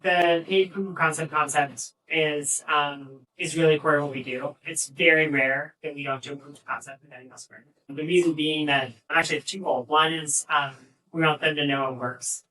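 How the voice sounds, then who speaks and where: A man answering as a panelist at a live fireside chat, explaining in a halting way, picked up by a distant mic. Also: fairly high pitch, fairly even intonation, bright, forceful tone. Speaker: panelist, AI agent engineer. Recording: distant mic, poor event audio.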